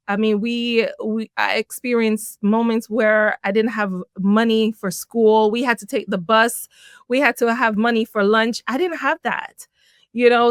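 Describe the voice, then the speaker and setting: A middle-aged woman from Jamaica, a podcast guest telling her life story in an animated way, laughing often. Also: a little high, dry recording, studio quality. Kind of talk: personal storytelling in an interview.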